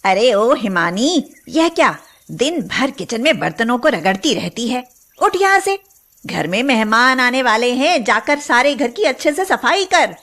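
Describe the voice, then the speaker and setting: Woman playing a high-pitched, scolding tyrant mother-in-law. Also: sing-song, a bit bright, exaggerated voice. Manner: nagging, sarcastic, drawn-out calls. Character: cruel, scolding, domineering Indian mother-in-law. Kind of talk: cartoon moral-story voice acting.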